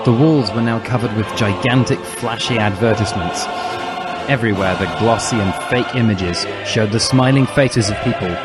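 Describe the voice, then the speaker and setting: A man narrating a comic dramatic reading of a first-person story. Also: fairly low pitch.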